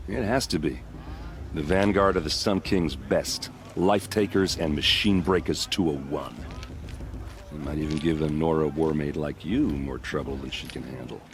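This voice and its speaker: A man's voice: deep voice, a little hiss or hum. An actor playing a friendly, easygoing young male soldier in a game cutscene, talking in a casual, joking way. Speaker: game character. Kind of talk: video game cutscene dialogue.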